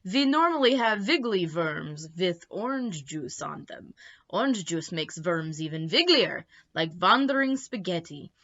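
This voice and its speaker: A woman's voice: on the low side, very animated, measured pace, fairly bright tone, dull audio, heavily acted. Woman voicing a sly, hungry male storybook vampire.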